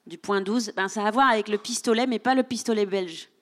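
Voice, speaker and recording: A woman's voice: mid-range voice, a little fast, fairly bright tone. A woman moderating a public book discussion, playful and teasing, laughing often as she questions guests and audience. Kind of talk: hosting a live public discussion. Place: public event room with audience.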